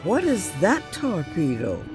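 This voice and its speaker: A woman's voice: fairly low pitch, huge pitch swings, measured pace, over music. A woman playing a decisive, composed starship captain giving orders in an audio drama. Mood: intent, thoughtful. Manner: commanding, crisp orders. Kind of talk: science fiction audio drama acting.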